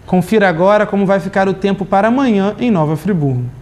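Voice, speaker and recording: A man in his thirties reading the news as anchor in a studio, with a steady, formal delivery. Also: high voice, quick pace, background noise. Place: TV studio desk.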